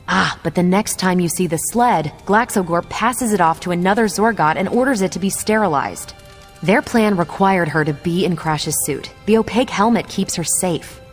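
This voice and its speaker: A woman's voice: on the low side, normal speed, faint music behind, natural voice. A woman playing a self-assured woman hero, explaining knowingly. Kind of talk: video game cutscene dialogue, natural conversational acting.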